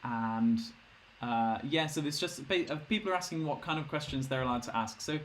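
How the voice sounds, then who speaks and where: A man's voice: mid-range voice, brisk, a bit bright, a little hiss or hum. A man hosting a live stream, hesitant, repeating words as he passes on viewers' questions. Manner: hesitant, repeats words, relays chat comments. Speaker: host and chat moderator.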